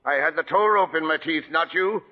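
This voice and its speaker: An actor playing a gruff but tender old lighthouse keeper in a radio drama, speaking affectionately in folksy seafaring dialect. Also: male voice, on the high side, a little fast, close to an everyday voice. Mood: fond. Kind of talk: 1940s radio acting. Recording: dull vintage radio broadcast, long reverb.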